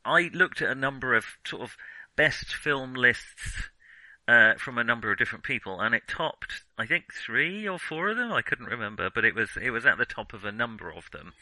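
A man co-hosting a casual film podcast, talking in a halting, self-interrupting way with a jokey, self-deprecating streak. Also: mid-range voice, sharp tone, no room sound, rough recording. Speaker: podcast co-host.

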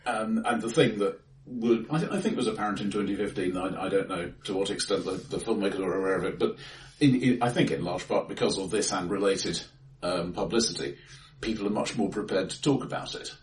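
A man co-hosting a casual film discussion in a measured, halting way, often repeating his opening words. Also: on the low side. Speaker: podcast co-host. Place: bright-sounding room, face to face. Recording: echoey room, distant from mic.

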